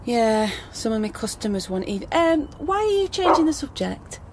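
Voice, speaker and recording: A woman's voice: on the high side, very animated, normal speed, very noisy. An actress playing a nosy, teasing woman at home in a naturalistic radio drama.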